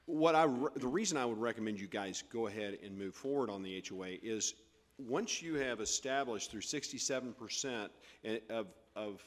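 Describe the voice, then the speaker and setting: A man at a city council meeting giving earnest advice to residents, often repeating words as he talks. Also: on the low side. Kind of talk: meeting discussion. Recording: reverberant meeting hall.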